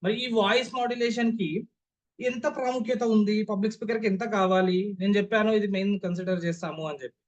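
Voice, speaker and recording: A young male trainer with a Telugu accent speaks in a notably high voice. He lectures an online class emphatically, leaning on key words. He keeps putting rhetorical questions to his listeners to check that they follow. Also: fairly even intonation, fast, lo-fi sound.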